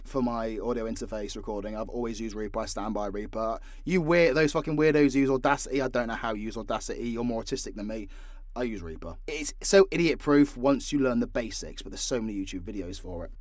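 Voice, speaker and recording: A man's voice: mid-range voice, no echo, clean studio sound. A British man chatting casually as a voice actor and audio creator, sweary and self-deprecating as he tells stories. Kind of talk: casual candid Q&A chat.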